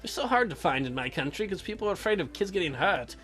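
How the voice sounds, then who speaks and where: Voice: medium pitch, very animated, fast, fairly bright tone, husky, faint music behind. A let's player reading a visual novel aloud, joking crudely and irreverently between the voiced lines. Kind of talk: comedic game playthrough, voicing characters.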